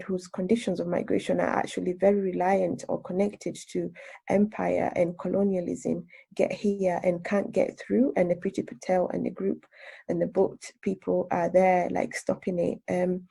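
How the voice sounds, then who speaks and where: A woman with a mellow, husky voice talks through serious points in a radio discussion. She is halting and thoughtful, circling back over words, with a fairly flat melody. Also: lowish voice. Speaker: radio discussion speaker introducing a topic. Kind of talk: reflective spoken radio discussion.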